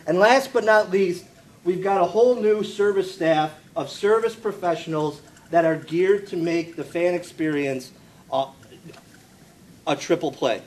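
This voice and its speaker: A man giving an informal presentation at a live press event, speaking in a halting, jokey way. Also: sits high. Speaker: food and beverage presenter at a press conference. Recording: clearly noisy live event with room ambience.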